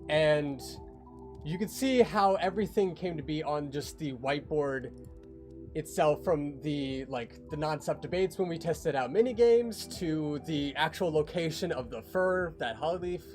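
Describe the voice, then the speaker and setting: A man's voice: fairly high pitch, animated, measured pace. A man chatting casually as a creator walking viewers through a project on a livestream. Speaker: creator on a behind-the-scenes livestream. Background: music.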